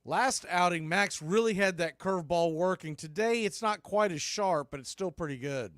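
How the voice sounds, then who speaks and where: A man's voice: high voice, moderate pace, a bit bright, no echo, studio quality. A man calling baseball play-by-play, rising sharply on big plays. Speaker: play-by-play announcer. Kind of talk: radio play-by-play commentary.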